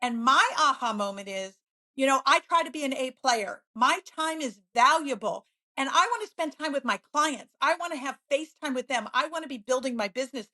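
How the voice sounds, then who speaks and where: A middle-aged woman, a real estate agent, talking as a guest in a podcast interview in an upbeat, energetic way. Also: sits high, a little fast. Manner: upbeat, energetic, laughs often.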